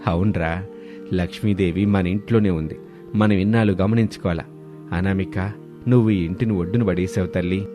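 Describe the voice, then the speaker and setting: A man's voice: deep voice, fairly even intonation, moderate pace, mellow, soft background music, natural voice. A man playing a plain-spoken, earnest older father-in-law in a village cartoon story. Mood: grateful. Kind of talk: dramatised moral story dialogue.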